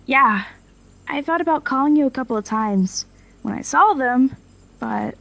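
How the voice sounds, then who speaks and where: A woman's voice: high-pitched, expressive, unhurried, gravelly, a bit bright, exaggerated voice, rough recording. A woman acting in an audio drama as a loyal informant to villains, earnest and a little hesitant, in a naturalistic style. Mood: earnest, concerned.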